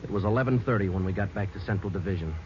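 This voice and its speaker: An actor playing a stoic, deadpan police sergeant in a 1940s radio drama, clipped and matter-of-fact. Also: male voice, low voice, very fast, background noise, close to an everyday voice. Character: terse, stoic police detective sergeant narrating his case. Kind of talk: 1940s radio acting, scripted narration. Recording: muffled vintage radio recording.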